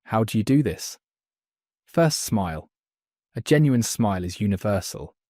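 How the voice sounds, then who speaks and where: A man gives a beginner English lesson in a slow, carefully paced voice. His voice sits a little low. The recording is dry and close, with no room sound. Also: animated, darkish tone, no room sound. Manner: slow, clear, deliberate delivery for learners. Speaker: English teacher. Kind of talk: slow scripted lesson narration.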